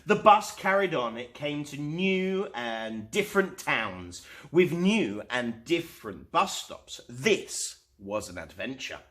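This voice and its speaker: A man reading a children's storybook aloud as host, expressively and with dramatic pauses. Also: medium pitch. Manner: animated, unhurried, dramatic pauses.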